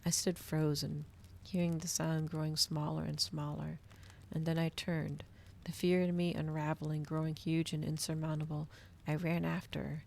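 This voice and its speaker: A woman reading a novel aloud in a hushed ASMR style, slipping into whispers, close to the microphone. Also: sits low, level tone, normal speed, gravelly.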